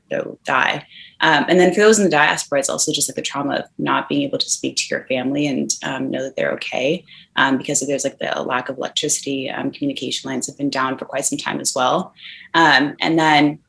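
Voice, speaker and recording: A woman talks as a panel guest in a low, gravelly voice with plenty of vocal fry. She answers thoughtfully and unhurriedly. Her speech is full of pauses and filler words. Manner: thoughtful, hesitant, many fillers. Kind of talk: casual panel discussion.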